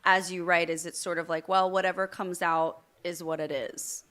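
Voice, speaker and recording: A woman's voice: lowish voice, level tone, moderate pace, fairly bright tone, clear, resonant tone. A woman vocal coach interviewing a singer, asking questions and explaining her musical analysis with enthusiasm.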